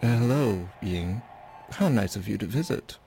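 A man's voice: on the low side, lively intonation, unhurried, vocal fry. A man voicing a polite, formal robot pet caretaker that stutters and glitches, in a sci-fi comedy audio drama. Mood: dreamy, formal. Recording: music behind the voice.

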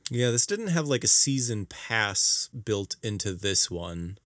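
A man talks casually as a podcast co-host. His pitch moves around in a lively way, and his bright voice is recorded dry and close, with little room sound. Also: a little slow, no room sound. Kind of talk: casual chat.

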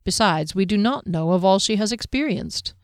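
Female narrator as composed commanding man, wry, measured, formal, fantasy audiobook, dry close sound. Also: medium pitch, lively intonation, no room sound, natural voice. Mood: careful, reassuring. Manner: careful, measured, dryly amused.

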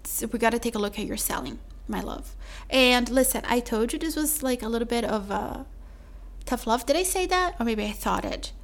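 A woman hosting her own podcast, talking chattily to the listener with a mellow voice and calling them "my love.". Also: on the high side, expressive, moderate pace. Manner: chatty, calls listener "my love", says "like" often. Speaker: podcast host. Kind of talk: solo podcast sales pitch.

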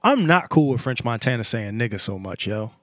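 A man's voice: mid-range voice, big pitch swings, a little fast, fairly bright tone, no echo, studio quality. An American man co-hosting a casual podcast, bantering in a blunt, opinionated, slangy and profane way. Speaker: podcast co-host.

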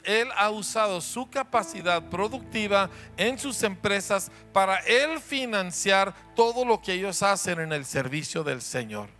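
Mexican church pastor, male, lively, sharp-toned, high-pitched; playful host who talks to the crowd. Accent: Mexican Spanish. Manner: warm, joking, asks the audience questions. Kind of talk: church introduction to the congregation.

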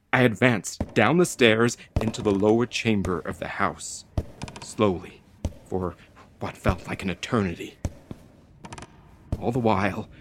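An actor playing a frightened ex-military delivery courier, telling a horror story in a theatrical, deliberate, suspenseful way. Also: male voice, fairly low pitch, a little hiss or hum, natural voice. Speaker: narrating lead actor. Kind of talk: dramatic first-person horror audio play narration.

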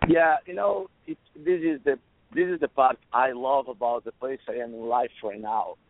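Hoarse Italian man, guest on a call-in, dry tinny phone sound, rambling lists. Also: medium pitch, normal speed, no room sound. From Italy. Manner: rambling, restarts, listing. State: hoarse, losing his voice. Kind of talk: interview answers.

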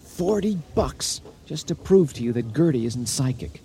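An actor in a radio drama playing an anxious husband who tries to stay rational and reassuring. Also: male voice, mid-range voice, animated, moderate pace, gravelly, warm tone. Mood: uneasy, sheepish. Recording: slightly noisy 1980 radio drama recording.

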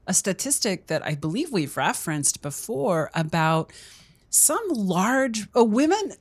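A woman's voice: medium pitch, very animated, measured pace, husky, no room sound. A middle-aged woman co-hosting a podcast, chatting casually and laughing easily. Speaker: podcast co-host. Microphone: studio microphone.

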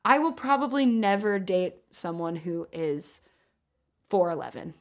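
A deep-voiced woman co-hosting an advice podcast, talking frankly in casual chat and laughing easily. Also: lively intonation, a little slow. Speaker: podcast co-host.

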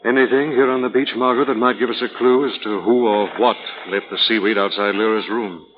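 Gentleman investigator male actor, grave and analytical, measured delivery. Vintage radio mystery, dull sound with clear hiss. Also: lowish voice, steady tone, close to an everyday voice. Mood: grave, pondering. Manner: measured, deliberate, serious. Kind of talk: 1940s radio acting. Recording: dull, noisy 1940s radio recording.

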